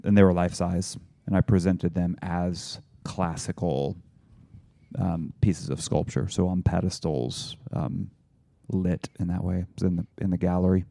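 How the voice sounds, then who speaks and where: Deep, dark-voiced man chatting casually. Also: dry recording, studio quality. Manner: rambling, frequent restarts and fillers, laughs easily. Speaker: pastor and podcast co-host. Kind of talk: casual discussion.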